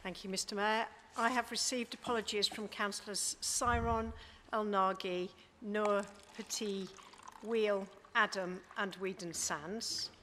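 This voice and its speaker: A woman with a mid-pitched voice reads names and notices in a crisp, businesslike way at a formal council meeting. The hall around her has a long reverb, with a faint noise underneath. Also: steady tone, a little slow, a bit bright. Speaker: chief executive of the council. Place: large council chamber.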